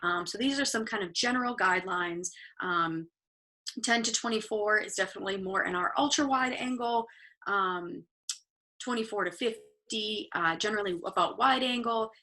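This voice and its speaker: Bright-voiced woman teaching photography online. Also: mid-range voice, normal speed. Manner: encouraging, chatty, many ums. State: slightly hoarse. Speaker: photography workshop instructor. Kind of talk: live online photography presentation. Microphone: video call.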